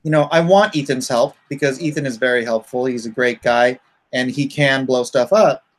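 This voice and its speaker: A man's voice: mid-range voice, fairly even intonation, normal speed. A middle-aged man with a nasal voice, chatting casually as the host of a livestream. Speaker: host, comic artist.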